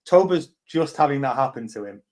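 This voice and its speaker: A man's voice: mid-range voice, lively intonation, fairly quick, a little mellow. Blunt, sweary man on a call. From England. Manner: blunt, sweary, rambling with many fillers. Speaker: CEO hosting a live community chat. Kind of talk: casual chat.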